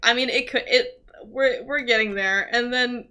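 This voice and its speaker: A woman giving casual, rambling commentary on a live gaming stream, thinking aloud in an excitable, giggly way. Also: on the high side, normal speed. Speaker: livestreamer playing a role-playing game.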